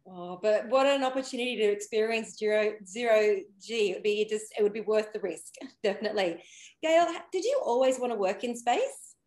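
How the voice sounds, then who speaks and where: A woman's voice: medium pitch, animated, a little fast, a little mellow. A woman hosting a video call interview, asking enthusiastic, encouraging questions. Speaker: interviewer, host. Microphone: webcam on video call. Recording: roomy video call audio.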